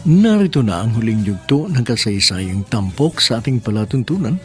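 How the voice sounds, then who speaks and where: A man's voice: medium pitch, very animated, moderate pace, soft background music. A man hosting and narrating a radio drama, speaking formally, earnestly and politely.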